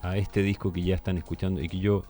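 Deep-voiced male radio host. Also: level tone, fast talker, warm tone, soft background music. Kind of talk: casual radio talk.

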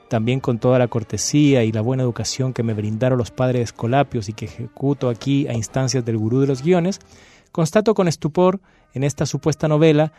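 A man's voice: mid-range voice, mellow. A Chilean man on a university radio show, reading passionately with a repeating cadence, then chatting about a novel. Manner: impassioned, repetitive cadence when reading. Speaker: radio guest discussing a novel. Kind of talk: dramatic reading then casual talk.

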